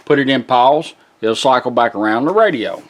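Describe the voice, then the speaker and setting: A man's voice: medium pitch, lively intonation, moderate pace, a little hiss or hum. A man casually walks through a hands-on product demonstration in folksy, step-by-step fashion. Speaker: presenter demonstrating a car radio.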